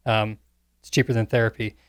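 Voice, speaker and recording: A man's voice: fairly low pitch, flat delivery, brisk, smooth, no room sound, studio quality. A middle-aged man talking casually as a co-host on a panel podcast.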